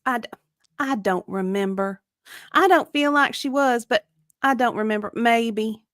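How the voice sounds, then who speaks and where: High-voiced, evasive witness played by a woman. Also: unhurried, a bit bright, no room sound, clean studio sound. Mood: defensive. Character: evasive, defensive female victim advocate being deposed. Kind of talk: dramatic deposition reading.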